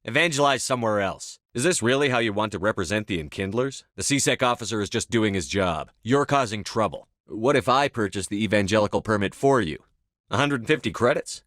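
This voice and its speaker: A man's voice: fairly low pitch, animated, a little fast, fairly bright tone, dry recording, clean studio sound. A man playing a resolute, blunt military commander in a science fiction video game, speaking firmly and tersely with authority. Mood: firm, questioning. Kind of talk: scripted game dialogue.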